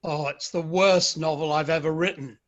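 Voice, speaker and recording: Male actor as modest Victorian man of letters, middle-aged, wry, slightly high-pitched, in a staged reading. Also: fairly even intonation, normal speed, close to an everyday voice. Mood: wry self-deprecation. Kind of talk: dramatic play reading.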